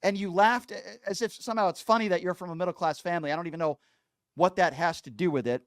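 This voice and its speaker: A man's voice: sits high, fast, smooth, dry recording, studio quality. A man hosting a political call-in radio show, scolding and sarcastic as he argues. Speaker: radio talk show host.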